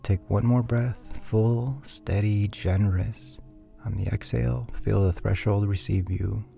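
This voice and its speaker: A man softly narrating a scripted guided sleep meditation in a soothing, measured, breathy way. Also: fairly low pitch, steady tone. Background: faint background music.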